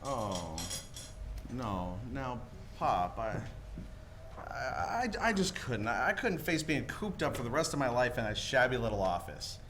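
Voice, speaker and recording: A man's voice: medium pitch, big pitch swings, measured pace, background noise. An actor playing an earnest, restless young small-town man in a 1940s radio play, with a hesitant, folksy, stammering delivery. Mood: pleading, restless. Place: live church stage performance.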